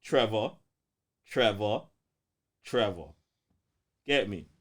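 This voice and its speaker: A man's voice: fairly low pitch, very slow. A man with a London accent hosting a live podcast in casual, slangy banter. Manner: slangy, animated. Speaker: podcast host.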